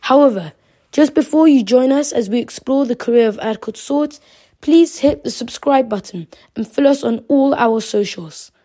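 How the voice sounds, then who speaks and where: A woman reading a scripted podcast introduction in an even, read-aloud manner. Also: sits high, normal speed.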